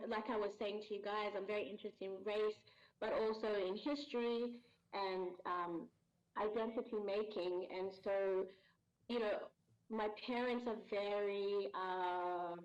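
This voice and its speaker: Woman, 30s, interview guest; mid pitch, thoughtful with lots of fillers, muffled call audio. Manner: thoughtful, hesitant, many fillers and restarts. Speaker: voice actress guest. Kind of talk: casual interview answers.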